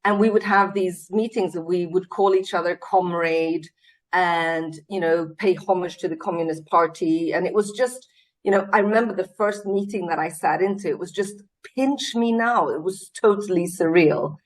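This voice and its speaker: A woman's voice: lowish voice, normal speed, low-quality recording. A Swedish-born woman, a CEO, talking in a casual interview over a video call, earnest and reflective with many fillers and restarts. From Sweden.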